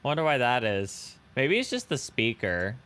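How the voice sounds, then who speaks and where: A man's voice: mid-range voice, sing-song, normal speed, a bit bright, smooth. A young man streaming a flight simulator, chatting casually with viewers and thanking subscribers. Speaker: live streamer. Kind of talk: casual live-stream chat while flying a simulator.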